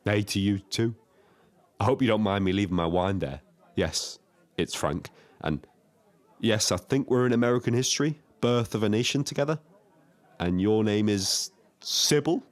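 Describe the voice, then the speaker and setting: An actor playing a lonely, reflective taxi driver in an audio drama, telling his story in a measured, literary way. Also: on the low side, natural voice. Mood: eager, awkward. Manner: wordy, literary, measured first-person storytelling. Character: Frank, lonely reflective male Brighton taxi driver and diarist. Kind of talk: scripted dramatic diary narration.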